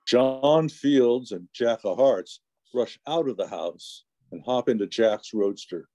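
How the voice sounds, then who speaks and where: A man's voice: mid-range voice, lively intonation, slow pace, mellow, dry recording. A man reading scripted narration for an old-time radio drama, with the role of narrator.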